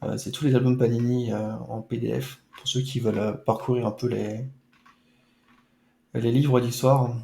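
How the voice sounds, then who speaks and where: A man's voice: mid-range voice, little pitch movement, normal speed, vocal fry, warm tone, roomy sound, slight background noise. A man streaming a retro football game, chatting casually with playful, mock-dramatic asides. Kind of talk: casual let's-play chat.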